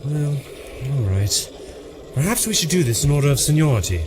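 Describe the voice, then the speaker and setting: An actor playing an earnest, commanding time-traveler hero in an audio drama, authoritative and excitable. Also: male voice, fairly high pitch, moderate pace, noisy background. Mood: testy.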